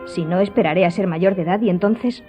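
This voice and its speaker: A woman's voice: fairly low pitch, brisk, dark tone, close to an everyday voice. An actress playing a devoted, determined young lady in love in a radio drama. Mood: hopeful, resolute. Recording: slightly dull, music behind.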